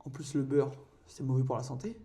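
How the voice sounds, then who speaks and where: A young man co-hosting a podcast, telling a story in a halting way with many fillers and pauses. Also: mid-range voice, mellow. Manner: hesitant, filler-heavy storytelling. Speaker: podcast co-host. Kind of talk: casual chat while painting.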